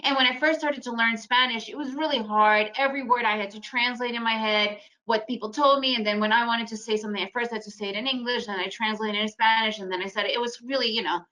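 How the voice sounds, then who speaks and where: A woman in her forties presents to an online audience in a chatty, storytelling way, often checking in with a quick "right?". Her voice sits a little high and sounds bright and forceful. She grew up in the United States and her first language is Russian. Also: steady tone, poor audio. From Ukraine, raised in the United States. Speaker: trading webinar presenter. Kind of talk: casual presentation.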